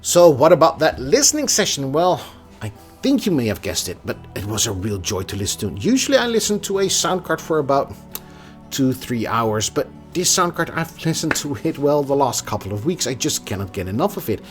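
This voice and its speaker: A man's voice: mid-range voice, sing-song, fairly quick, soft background music. A man presenting a product review in a conversational, emphatic way, occasionally stumbling over a word. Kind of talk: scripted product review with casual asides.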